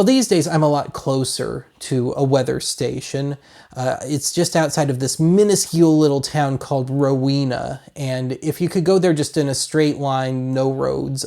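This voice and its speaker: A man's voice: medium pitch, creaky, warm tone. A man in his thirties from Colorado with an American accent, chatting casually through a product review in a wry, rambling way. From Colorado, United States. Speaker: host of a hobby video series.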